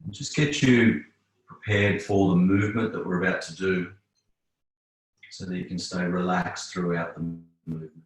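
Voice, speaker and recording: A man teaching yoga, guiding relaxation and breathing in calm, unhurried phrases with long pauses. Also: sits low. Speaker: yoga instructor. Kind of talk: guided yoga relaxation instruction. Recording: very echoey room.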